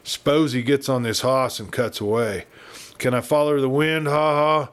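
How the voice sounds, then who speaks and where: A man's voice: mid-range voice, steady tone, unhurried, smooth, lightly acted. Audiobook Western heavy: grim, contemptuous big man, rustic American drawl, growled delivery, performed by a male reader. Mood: grim, resolved. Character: big grim ruthless cowboy villain of the American West. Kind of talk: Western audiobook character reading.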